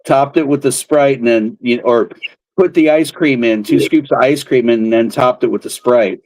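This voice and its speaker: A man's voice: medium pitch, moderate pace, darkish tone, dry recording, low-quality recording. Male host, casual, rambling storyteller, dry room. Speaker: channel host, beer can collector. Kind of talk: casual chat.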